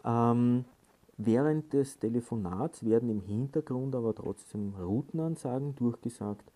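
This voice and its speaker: Casual male tutorial presenter, very dark soft-edged tone, slow and hesitant delivery. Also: mid-range voice. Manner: halting, hesitant delivery with frequent filled pauses. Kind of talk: casual hands-on instructional talk.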